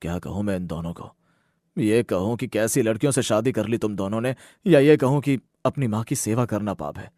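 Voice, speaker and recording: A man voicing a stern, fair-minded older father with a measured, reproachful manner, in a Hindi moral story cartoon. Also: on the low side, mellow, no echo, studio quality, natural voice. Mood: stern, disappointed.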